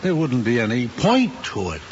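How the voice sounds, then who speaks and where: An actor playing an ambitious, anxious middle-aged father in an old screen drama, speaking in a halting, earnest way. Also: medium pitch, wildly swooping pitch. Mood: troubled. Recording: dull old film soundtrack, faint hiss.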